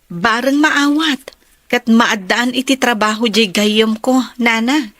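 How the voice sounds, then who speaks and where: An actress playing a gentle, concerned young woman in an Ilocano radio drama. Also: fairly high pitch, slow, no echo. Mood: reassuring.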